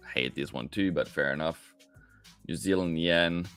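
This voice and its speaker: A man's voice: rumbling low, moderate pace, a bit bright. A man hosting a live trading stream, talking casually and answering viewers directly as he works through the charts. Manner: casual, chatty, answers viewers directly, calls them mate. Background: faint background music.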